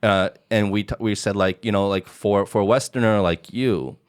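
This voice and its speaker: A podcast host from Hong Kong talks casually and at length, his voice on the low side. He strings thoughts together with many fillers and "like"s. He laughs often as he goes. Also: steady tone, normal speed, clean tone. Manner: rambling, lots of "like", laughs often. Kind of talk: casual conversation.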